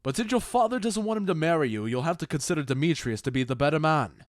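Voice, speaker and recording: Man playing a stately, higher-voiced commanding duke. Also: animated, dry recording, clean studio sound, close to an everyday voice. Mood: stern, advising. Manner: stately, deliberate, authoritative. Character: commanding, measured Duke of Athens. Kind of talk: modernized Shakespeare audio drama acting.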